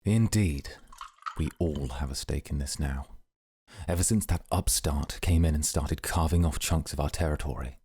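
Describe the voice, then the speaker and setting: A man playing a stern, serious mafia boss in an ASMR roleplay, gravelly, measured and formal, with dry sardonic understatement. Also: rumbling low, mellow. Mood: grave, guarded.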